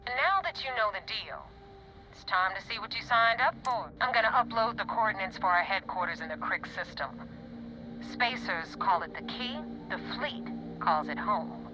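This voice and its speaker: An actress playing a tough, sardonic space pirate recruiter in a video game, blunt and threatening. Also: female voice, mid-range voice, expressive, normal speed, lo-fi sound, background noise, lightly acted. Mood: brisk.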